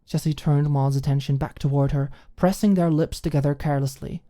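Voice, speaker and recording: Low, mellow female audiobook narrator. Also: fairly even intonation, a little fast. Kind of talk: scripted story narration, ASMR audiobook.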